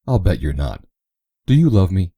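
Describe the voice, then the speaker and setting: A man's voice: low voice, very animated, dark tone, slightly rough, no room sound, studio quality, voice pushed up, heavily acted. A man putting on a gushing, giddy young woman. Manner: breathless, rapid exclamations.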